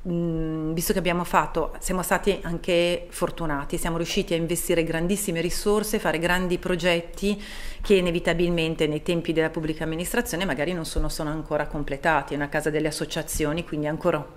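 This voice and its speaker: A middle-aged woman with a low voice speaks into a table mic in a TV studio. She explains things earnestly and at a measured pace, pausing often to gather her thoughts. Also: fairly even intonation. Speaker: town mayor, guest. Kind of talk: studio interview.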